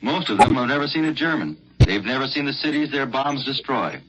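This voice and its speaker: An American man puts chatty, folksy questions as a 1940s radio interviewer. He talks fast with a lively, swinging pitch. The broadcast sounds dull and dated. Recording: muffled, slightly noisy 1940s radio.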